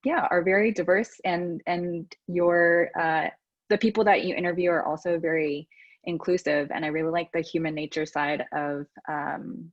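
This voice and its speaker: A woman from Texas hosting a podcast, talking in a relaxed, chatty way with frequent ums and restarts. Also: lowish voice, normal speed. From United States. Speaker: podcast host. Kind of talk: casual conversational interview. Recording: dull lo-fi audio.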